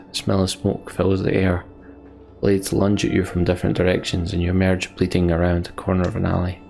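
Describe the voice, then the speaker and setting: A man with a very low voice and a crackly, gravelly edge hosts a let's play. He reads narrative text dramatically and slips into emotional, sweary asides. Music plays softly behind him. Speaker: let's play host reading game text aloud.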